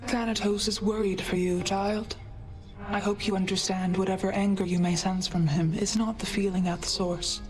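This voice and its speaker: Woman as a composed, dignified mid-pitched goddess. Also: fairly even intonation, darkish tone, faint music behind, close to an everyday voice. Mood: gentle. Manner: formal, measured, composed. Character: calm, dignified, motherly elder goddess. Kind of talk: video game dialogue.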